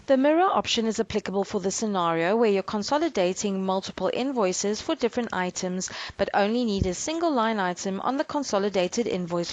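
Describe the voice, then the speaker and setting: A woman reading a scripted software demo narration in a measured, instructional way. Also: mid-range voice, dry recording.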